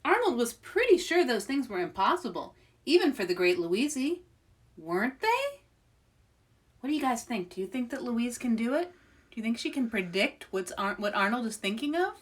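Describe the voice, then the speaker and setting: A woman's voice: a little high, big pitch swings, moderate pace, far from the mic. A woman hosting story time for young children, reading aloud expressively and speaking directly to her listeners.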